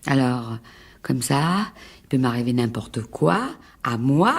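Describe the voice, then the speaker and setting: Very low, creaky, reproachful wife. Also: female voice, expressive, takes it slowly, exaggerated voice. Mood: reproachful, sullen. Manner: reproachful, sullen. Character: wife, reproachful, fearful and resentful. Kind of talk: French radio drama acting.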